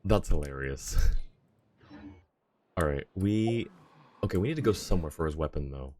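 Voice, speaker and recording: A man's voice: a bass voice, lively intonation, measured pace, a little mellow, slight background noise. A man talking casually on a live gaming stream, rambling and thinking aloud as he goes. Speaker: game livestreamer.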